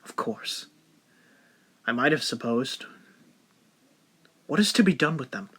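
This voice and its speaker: An actor playing an upright, honorable military lieutenant, earnest and formal in a period audio drama. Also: male voice, mid-range voice, animated, slow pace, pushed and bright, slightly rough, full character voice. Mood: doubtful. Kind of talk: stage-play style period audio drama acting.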